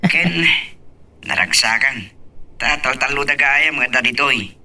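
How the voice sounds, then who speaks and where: An actor voices a dutiful son with an Ilocano accent in a family love drama. His mid-pitched voice is very sharp and bright. He talks in a reassuring, conversational way, a little away from the microphone. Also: a little slow, distant mic. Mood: respectful, easygoing. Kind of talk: Ilocano audio drama acting.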